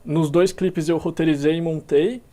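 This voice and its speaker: A young man from Minas Gerais, a musician being interviewed, talking in a relaxed way with many fillers and restarts. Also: on the high side, normal speed. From Minas Gerais, Brazil. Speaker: musician guest. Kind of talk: casual interview talk.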